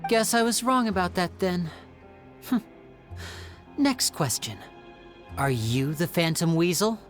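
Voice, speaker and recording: Game hero: sly, composed young male magician, lowish voice, measured questioning, soft music underneath. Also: animated. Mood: wry, cool. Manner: measured, probing, wry.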